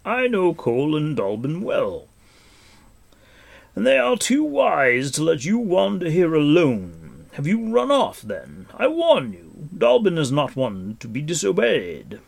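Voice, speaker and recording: A man's voice: on the high side, wildly swooping pitch, slow pace, creaky, pitched above the performer's own voice, heavily acted. A man voicing a weathered, commanding warrior prince in a storybook, stern yet kindly, speaking with emphatic authority. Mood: urgent, demanding.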